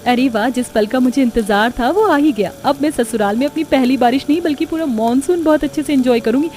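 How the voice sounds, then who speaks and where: A woman's voice: sits high, brisk, darkish tone, lightly acted. A woman voicing a sweet, eager, dutiful young new bride in a cartoon story. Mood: excited.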